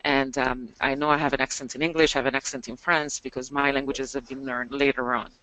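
A woman's voice: a bass voice, level tone, fairly quick, a bit bright, no echo, low-quality recording. A woman presenting a webinar over a video call, chatty with many fillers and restarts.

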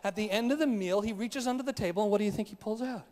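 A man with a strikingly high voice tells a comic story from the stage, animated and playful, adding vocal sound effects along the way. He sounds like a preacher at a live conference. His voice rings with the long reverb of a large hall. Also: rapid-fire.

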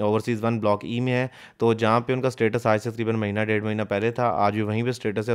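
Quick, smooth-voiced man. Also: on the low side. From Pakistan. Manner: explanatory, walks viewer through map and footage; mixes English terms into his speech. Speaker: real estate consultant. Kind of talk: voice-over narration of drone site footage.

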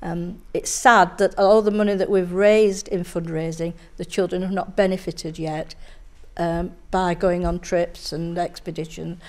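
An older woman from England, a cub scout leader giving an earnest interview in a scout hall, halting with many fillers. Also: fairly low pitch, level tone.

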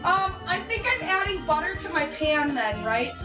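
A woman's voice: very high voice, big pitch swings, moderate pace. A woman in her thirties cooking live in her home kitchen, chatting casually, swearing and laughing at her own chaos. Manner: chaotic, swearing, laughing at herself. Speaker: streamer cooking along with a friend. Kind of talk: casual livestream chat. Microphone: fixed camera across the kitchen. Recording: roomy kitchen sound with music behind.

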